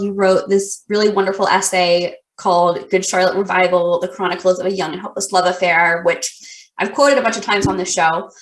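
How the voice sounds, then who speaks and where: A woman's voice: medium pitch, fairly bright tone, echoey room, low-quality recording. A woman hosting a casual podcast interview, friendly and rambling, full of fillers and restarts. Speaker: podcast host.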